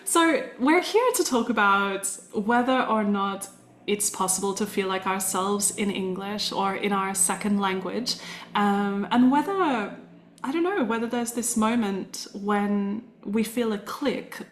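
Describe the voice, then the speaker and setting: A woman's voice: mid-range voice, lively intonation, unhurried. A woman hosting an interview podcast, asking curious, reflective questions and thinking aloud. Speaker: podcast host. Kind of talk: conversational interview. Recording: roomy echo with faint music.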